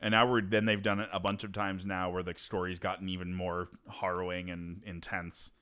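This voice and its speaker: A laid-back man in his late twenties chats on a podcast, cursing freely as he talks. His voice sits a little low but has a bright, forward edge. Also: level tone, normal speed. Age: 20s. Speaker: podcast co-host. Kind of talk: casual chat.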